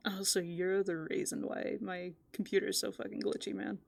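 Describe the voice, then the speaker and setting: Woman as a soft-spoken creaky raccoon girl. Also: mid-range voice, steady tone, moderate pace. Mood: relaxed, amused. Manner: casual, hushed, often drops into whispers. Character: playful, easygoing female raccoon living in a dumpster. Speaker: ASMR host. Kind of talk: soft-spoken furry ASMR roleplay.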